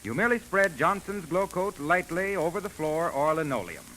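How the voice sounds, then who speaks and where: A man's voice: a little high, expressive, normal speed, pushed and bright, slight background noise, low-quality recording. An American man announcing and reading advertising in the style of 1940s radio, on a vintage broadcast recording.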